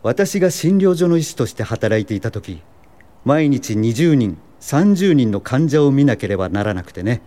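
An actor playing an earnest, kindly American doctor and lecturer in a radio drama. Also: male voice, mid-range voice, big pitch swings, moderate pace, warm tone, lightly acted. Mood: earnest, reflective.

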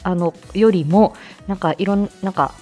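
A woman hosting a casual morning livestream, talking in a polite, chatty way and often pausing to find her words. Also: fairly low pitch, a little mellow. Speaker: host of a weekly morning livestream; speech-language therapist. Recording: faint music behind the voice. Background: faint background music.

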